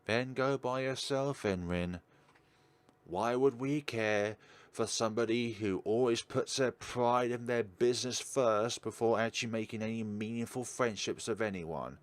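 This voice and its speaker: A man's voice: medium pitch, a little slow, dry recording. A man hosting a let's play, reading game narration aloud and adding teasing, argumentative asides.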